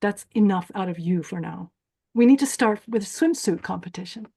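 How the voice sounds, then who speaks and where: A woman's voice: medium pitch, expressive, moderate pace, vocal fry, dark tone, breathy edge. A woman playing a stern, callous older beauty queen who hosts a pageant in a screenplay table read, commanding and cold in manner. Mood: stern, menacing. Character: stern, callous 64-year-old female pageant host, former beauty queen.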